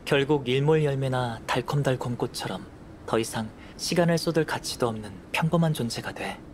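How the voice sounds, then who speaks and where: An actor playing a calm, curious young male alchemist in a game, speaking in a measured, composed, articulate way. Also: mid-range voice, slight background noise, lightly acted. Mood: calm, reflective. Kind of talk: scripted game dialogue. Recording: music behind the voice.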